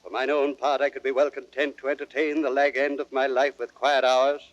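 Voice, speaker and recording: An older nobleman played by a male actor in a Shakespearean audio drama, wily and aggrieved. He declaims formally and earnestly at a middling pitch, in a very dry recording. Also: flat delivery, a little fast, dry recording, muffled recording, natural voice. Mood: pleading. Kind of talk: classical Shakespearean radio drama acting.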